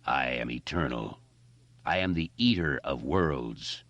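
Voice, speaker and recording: A man voicing an ancient, evil monster in an audiobook, speaking in a slow, looming and contemptuous way. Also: very deep voice, animated, fairly bright tone, no echo, muffled recording, voice pushed down, heavily acted. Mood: menacing. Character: ancient evil male cosmic monster, cold and menacing. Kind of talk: audiobook character voice.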